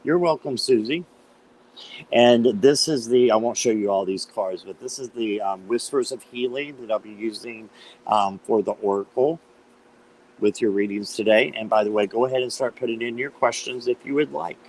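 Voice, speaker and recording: A man with a slight cold and a hoarse voice, chatting casually as he hosts a live tarot stream and greets viewers by name. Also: medium pitch, moderate pace, darkish tone, low-quality recording.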